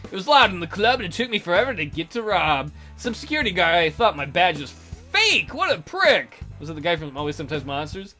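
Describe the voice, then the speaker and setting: A woman's voice: fairly low pitch, sing-song, brisk, hardly any pauses, pushed and bright. A woman let's play host reading visual novel narration aloud with irreverent, joking asides. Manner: irreverent, jokey. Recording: music behind the voice.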